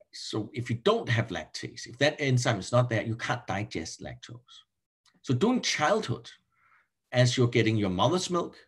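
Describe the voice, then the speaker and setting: Male professor, middle-aged, Danish accent, slightly rough voice, halting explanatory online lecture delivery. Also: mid-range voice. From Denmark. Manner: halting, self-correcting, repeats words. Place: indoor room, on a video call.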